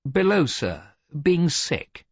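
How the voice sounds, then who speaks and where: Man acting young naval lieutenant, officious, eager, raised pitch, lively swoops, audiobook sea story. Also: slow, dry recording, dull audio, full character voice. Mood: anxious, eager. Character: stiff young naval lieutenant, anxious and boastful.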